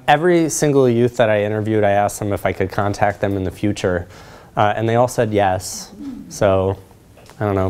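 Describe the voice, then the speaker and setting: Low-voiced man presenting. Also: a little mellow. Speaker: presenter, researcher. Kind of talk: informal lecture question-and-answer. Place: lecture room, indoors.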